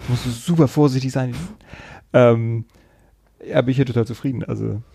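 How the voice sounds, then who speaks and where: Mellow, creaky-voiced man hosting. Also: mid-range voice, fairly even intonation, normal speed, dry recording, studio quality. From Kiel, Germany. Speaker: podcast host. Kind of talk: casual chat.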